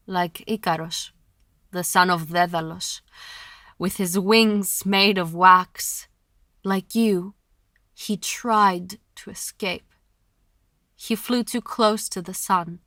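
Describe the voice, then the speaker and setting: An actress playing a stern, oracular chorus voice in a poetic audio drama, grave and deliberate. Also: female voice, lowish voice, fairly even intonation, bright, forceful tone, no room sound, clean studio sound. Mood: ominous.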